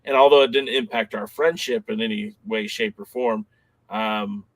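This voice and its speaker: A man's voice: fairly low pitch, fairly even intonation, moderate pace, no echo, low-quality recording. A man co-hosting a podcast, recalling past events in a rambling, casual way with many fillers. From Southern California. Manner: rambling, filler-heavy recollection. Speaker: podcast co-host.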